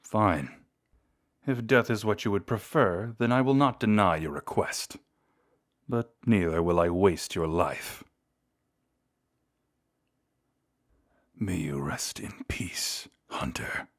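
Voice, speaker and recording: A man voicing a proud, seductive vampire lord in a scripted audio roleplay, speaking in a theatrical, deliberate and intimate way. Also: on the low side, lightly acted. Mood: grave resignation. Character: seductive, menacing vampire lord, proud and secretly tender toward lost love.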